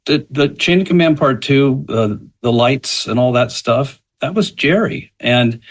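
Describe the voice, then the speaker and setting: A man casually recollecting as a podcast guest, halting often as he searches for words. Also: mid-range voice, animated.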